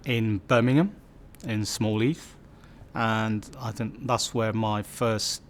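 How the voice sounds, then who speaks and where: Slow, reflective man in his fifties. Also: mid-range voice, a bit bright, slight background noise. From Bangladesh. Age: 50s. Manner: slow and reflective, many fillers and restarts. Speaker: community storyteller. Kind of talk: personal life story interview.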